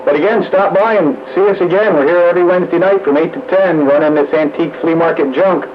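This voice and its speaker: A man's voice: fairly high pitch, fast. Noisy, muffled CB radio man chatting casually. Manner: folksy CB radio lingo. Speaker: CB radio net operator.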